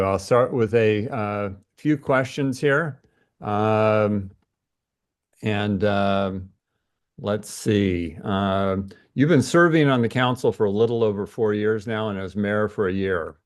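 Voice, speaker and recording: A man with a fairly low voice acts as the interviewer. He delivers prepared introductions and questions in a formal, measured manner.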